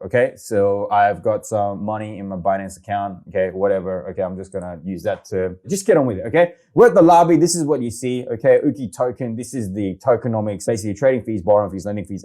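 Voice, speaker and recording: Excitable Korean man, fast and mellow. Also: on the low side. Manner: excitable, chatty, says okay often. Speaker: crypto video host. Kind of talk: casual screen-share walkthrough.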